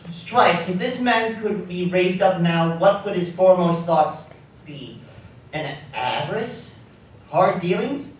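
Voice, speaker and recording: An actor playing a frightened, remorseful old miser in a live radio drama, beseeching and theatrical. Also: male voice, high voice. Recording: echoey live stage, distant mic, faint noise.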